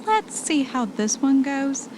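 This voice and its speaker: A woman's voice: sits high, very animated, slow pace, full character voice. A woman playing a frightened, anxious wife in an amateur audio drama, earnest and questioning. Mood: weary, relieved. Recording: clearly noisy amateur recording.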